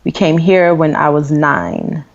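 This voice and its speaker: A woman's voice: low-pitched, fairly even intonation, takes it slowly, vocal fry. A woman in her thirties, born in Nigeria, talking candidly as an interview guest with frequent fillers. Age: 30s. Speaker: guest author and blogger. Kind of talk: interview conversation. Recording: dull lo-fi sound.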